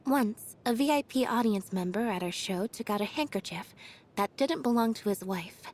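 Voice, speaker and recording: A woman voicing an aloof, quiet young female magician's assistant in a video game, deadpan, reserved and understated. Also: a little high, moderate pace. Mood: calm, secretive. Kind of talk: video game character voice lines.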